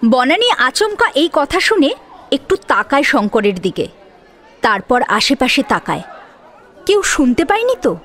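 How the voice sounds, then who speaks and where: A woman narrator reading a scripted dramatic story. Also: sits high.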